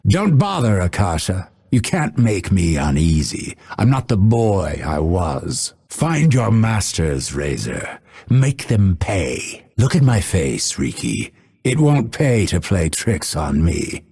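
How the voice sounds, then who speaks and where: Husky proud assassin for a video game, slow n measured, coolly menacing, dry wit. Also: male voice, low-pitched, very animated, a little mellow, full character voice. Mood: aloof, wry. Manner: measured, formal, haughty, dryly witty. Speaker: voice actor. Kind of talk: video game character voice lines.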